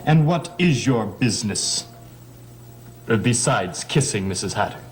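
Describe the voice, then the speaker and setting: An actor playing a sarcastic, accusing young heir in a gothic soap opera. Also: male voice, lowish voice, animated, unhurried, a little hiss or hum. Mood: mocking. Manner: sarcastic, taunting. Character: sardonic, accusing young stepson of a rich family.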